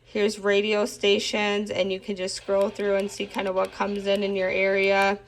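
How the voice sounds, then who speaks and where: A woman's voice: mid-range voice, completely flat delivery, moderate pace, noisy background. Chatty woman giving a casual product review. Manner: chatty, informal, enthusiastic.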